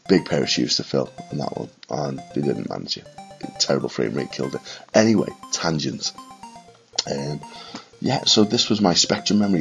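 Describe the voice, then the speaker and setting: Very deep, gravelly northwest English man. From Liverpool and Widnes, England. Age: 30s. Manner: quiet, subdued late-night talking. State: congested with a cold. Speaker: retro gaming video maker. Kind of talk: rambling casual reminiscence to camera. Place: small furnished room at home, indoors.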